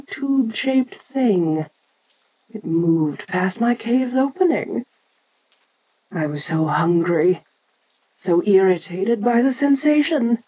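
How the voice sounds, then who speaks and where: A woman playing an ancient, domineering giant kaiju in an audio roleplay, haughty, deliberate and curious, talking down to a lesser creature. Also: medium pitch, darkish tone, husky, low-quality recording. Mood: brooding.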